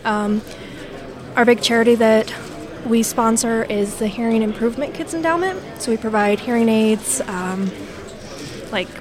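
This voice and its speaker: A woman's voice: on the high side, normal speed, a crowd in the background. A woman in her twenties being interviewed, explaining her organization in an informative way with some fillers. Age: 20s. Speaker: youth organization officer. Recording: crowded event hall.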